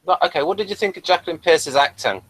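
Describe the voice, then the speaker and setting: A man's voice: high voice, quick pace, fairly bright tone, no echo, rough recording. A man hosting a casual podcast review, thinking aloud in a hesitant way. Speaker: podcast host. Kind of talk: casual chat reviewing a TV episode.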